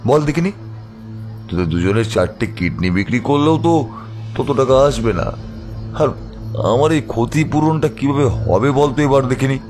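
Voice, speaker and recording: An actor playing a ruthless, vengeful crime boss in a horror audio drama, with a mocking, menacing manner. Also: male voice, mid-range voice, expressive, music in the background, close to an everyday voice. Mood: mocking, threatening. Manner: menacing, mocking, drawn-out rhetorical questions.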